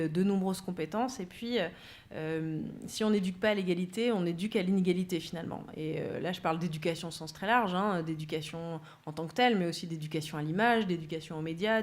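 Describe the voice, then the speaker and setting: A woman speaks at a conference with a somewhat low voice. She talks through her points with frequent fillers and restarts. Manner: hesitant, many fillers. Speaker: speaker from a gender equality centre. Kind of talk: conference talk. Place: conference room.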